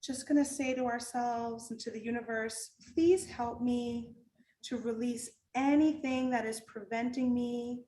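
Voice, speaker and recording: A woman leading a guided meditation in a calm, gentle manner, giving unhurried instructions with long pauses. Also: on the high side, slight background noise. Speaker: meditation guide and art teacher. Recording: roomy echo with music underneath.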